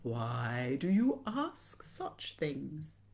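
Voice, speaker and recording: A woman voicing a sly, predatory crocodile in a storybook, with a coaxing, drawn-out delivery. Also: rumbling low, very animated, darkish tone, voice pushed down, heavily acted. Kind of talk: animated children's storybook character voice.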